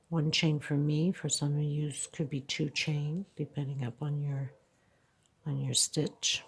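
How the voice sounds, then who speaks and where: A woman's voice: low-pitched, gravelly, husky. A congested woman teaching a crochet tutorial, counting stitches aloud and checking in with frequent okays. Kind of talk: hands-on tutorial narration.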